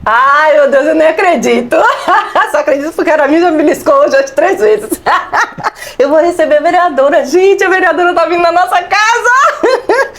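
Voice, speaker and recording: A woman hosting a community TV show in a studio, talking in an excitable, effusive way and laughing often. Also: very high voice, normal speed. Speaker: TV show host. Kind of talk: community TV interview.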